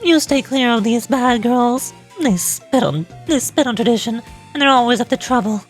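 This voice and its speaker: A woman's voice: on the high side, moderate pace, soft background music, close to an everyday voice. A woman voicing a tough, scornful gang girl in an anime game, blunt and combative. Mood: scornful, hostile.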